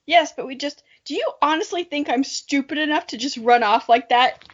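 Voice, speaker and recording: A woman's voice: high voice, moderate pace, highs cut off, natural voice. A woman voicing a feisty, easily annoyed female cat in an amateur reading of a children's story. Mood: annoyed, defiant. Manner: annoyed, defiant.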